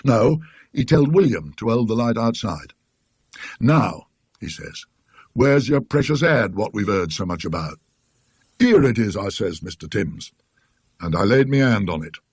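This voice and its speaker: A man's voice: fairly low pitch, expressive, a little slow, long pauses, darkish tone, breathy edge, no room sound, clean studio sound. A man voicing a talkative, indignant working-class British tram conductor in a ghost story, rambling and emphatic in his colloquial speech.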